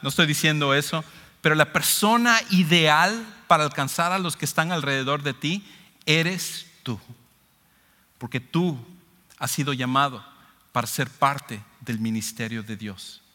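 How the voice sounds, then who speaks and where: A male preacher with a Latin American Spanish accent delivers a sermon in a bright, forceful voice that sits slightly high. He is emphatic, restating key phrases as he explains scripture to a congregation. Long reverb from a large hall trails his words. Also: measured pace. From Ecuador.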